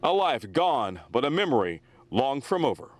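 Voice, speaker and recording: A man's voice: medium pitch, big pitch swings, moderate pace, no room sound. Dry-sounding American male TV reporter. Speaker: TV news reporter. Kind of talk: scripted news report.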